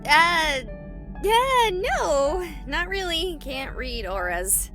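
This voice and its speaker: Casting: perky, prudish female streamer in a game, very high, animated and unhurried, over music. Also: exaggerated voice. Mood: hesitant, puzzled. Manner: excitable, prudish mild exclamations. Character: perky, prim female livestreamer, clueless ghost hunter. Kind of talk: visual novel game voice acting.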